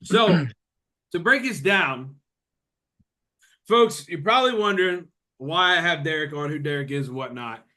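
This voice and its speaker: A man's voice: fairly high pitch, animated, unhurried, pauses often, pushed and bright. A man in his late thirties hosting a podcast, chatting casually and rambling with lots of fillers. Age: 30s. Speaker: podcast host. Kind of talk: casual chat.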